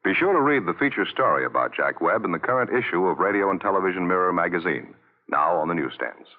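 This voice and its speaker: A man's voice: low-pitched, very animated, fast talker. A man announcing formally in the style of 1950s radio. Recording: narrow-band old radio, loud music.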